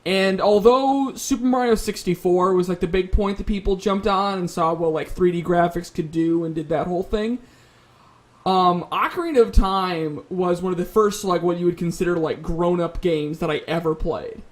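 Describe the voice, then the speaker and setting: A man's voice: sits high, normal speed. A man speaking as a panelist in a casual gaming discussion, conversational and careful to qualify his opinions.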